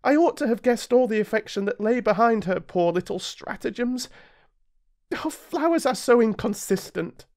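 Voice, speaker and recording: A man's voice: high-pitched, lively intonation, normal speed, a little mellow, voice pushed up. A British man voicing a tender, earnest young boy prince with a wistful manner. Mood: regretful.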